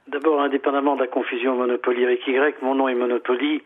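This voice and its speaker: A man's voice: medium pitch, flat delivery, fast talker. A middle-aged man from southern Italy, a museum owner speaking French as a guest on a phone interview, hesitant and repeating words often. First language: Italian. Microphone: telephone. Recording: tinny phone line.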